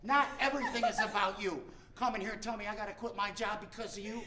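A man's voice: high voice, fairly even intonation, fast talker, roomy sound, noisy background, close to an everyday voice. An actor playing an aggrieved, foul-mouthed man who is fed up, in a naturalistic screen drama. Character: aggrieved, swearing associate fed up with being bossed around. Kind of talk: naturalistic film drama acting.

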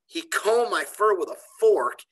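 A middle-aged man reads aloud as an elementary teacher would to children. His voice is bright and forceful, and it rises and falls a lot as he tells the story. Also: high-pitched, expressive, measured pace. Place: bright indoor school room. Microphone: computer webcam on a video call.

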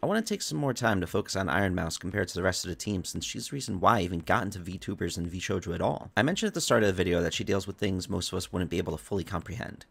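Young Jersey guy, rapid gravelly narration, sincere fan essay tone. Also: low-pitched, animated. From New Jersey. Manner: earnest, heartfelt, casual cursing. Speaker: YouTuber, commentator. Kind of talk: scripted video essay narration. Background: soft background music.

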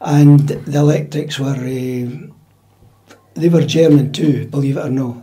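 A Scottish man in his seventies, recalling his working life in a measured, matter-of-fact way during a relaxed interview in a dining room at home. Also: medium pitch. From Scotland. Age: 70s. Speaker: interview guest, retired drummer. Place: dining room in a private house.

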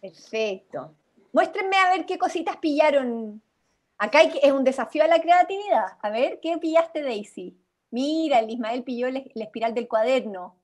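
A young Chilean-accented woman with a high-pitched voice leads an online workshop. She is informal and encouraging as she gives instructions over a video call. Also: animated, moderate pace. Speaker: workshop host. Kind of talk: interactive online creative workshop.

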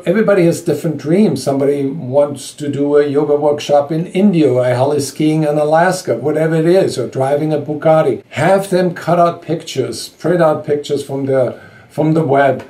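A man's voice: fairly high pitch, moderate pace, dark tone. A man in his sixties from Austria, hosting his own vlog and coaching on sales in earnest, emphatic scripted talk to camera. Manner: earnest, emphatic, gestures while talking. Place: indoors before a sky backdrop. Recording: roomy echo.

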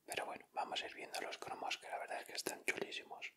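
A man with a Castilian Spanish accent doing gentle, informal ASMR commentary as he hosts an unboxing. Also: fast talker. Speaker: ASMR video host.

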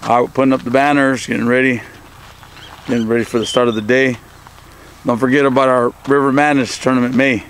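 A man organizing a youth softball clinic, talking casually to his handheld camera outdoors in a loose, upbeat way with plenty of fillers. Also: medium pitch, level tone, a little fast, a little hiss or hum. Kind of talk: casual vlog narration to camera.

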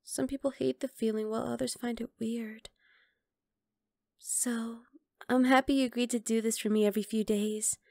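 Woman acting a high-voiced, teasing vampire girl. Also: fairly even intonation, moderate pace, creaky, a little mellow, no room sound, clean studio sound. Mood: earnest, gentle. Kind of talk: ASMR roleplay.

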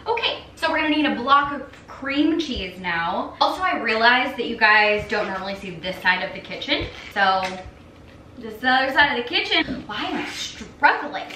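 A young woman vlogs from her home kitchen, chatty and quick to poke fun at herself. Her voice sits a little high. The camera sits back on the counter, so the room echoes around her with a faint hum underneath. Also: expressive, bright, forceful tone. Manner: chatty, self-teasing, talks while cooking. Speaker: cooking vlogger. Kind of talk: casual cook-along chat. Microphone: camera on the counter, at some distance.

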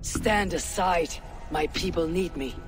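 An actress playing a resolute, loyal Viking warrior woman in a video game, with a solemn, deliberate and firm delivery. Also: on the low side, music in the background. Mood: defiant. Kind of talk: dramatic video game acting.

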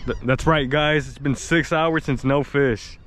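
A man's voice: mid-range voice, fairly even intonation, a little slow, a little hiss or hum. A young man hosting a casual fishing vlog outdoors by the water, playful and joking with viewers. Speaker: fishing channel host.

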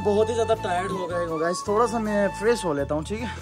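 A young man vlogging casually to camera, chatty and excitable, slightly hoarse from the cold. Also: high voice, quick pace, music in the background. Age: young adult. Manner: excitable, chatty, addresses viewers as guys. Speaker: travel vlogger. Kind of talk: casual vlog chat to camera. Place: outdoors at night, hotel, car interior.

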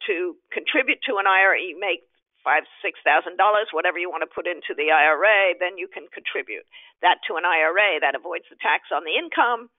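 A webinar presenter explaining slide by slide in a loose, conversational way, often restarting a phrase. The voice sits in a middle pitch. It comes over a thin, phone-like line with a dry sound and no room around it. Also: brisk, no echo. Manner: conversational, with frequent restarts and fillers. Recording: tinny phone-line audio.

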